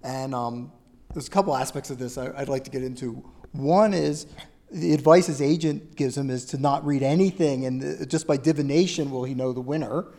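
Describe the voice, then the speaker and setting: A man's voice: medium pitch, a little fast, darkish tone. A man interviewing an author, summarizing the book's plot to set up his questions.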